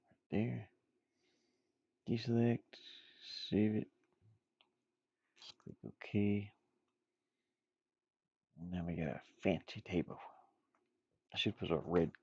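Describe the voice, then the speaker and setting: A man's voice: deep voice, gravelly, warm tone, breathy edge, dry recording, highs cut off. A man thinking aloud in short, offhand phrases as he casually demonstrates game art on his computer. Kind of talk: casual screen-recorded work-along, thinking aloud.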